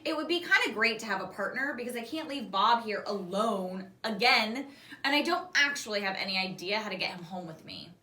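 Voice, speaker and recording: A woman reading a children's novel aloud like a teacher, expressive and animated, acting out the dialogue. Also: medium pitch, a little fast. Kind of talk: expressive storybook read-aloud. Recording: roomy echo, distant mic.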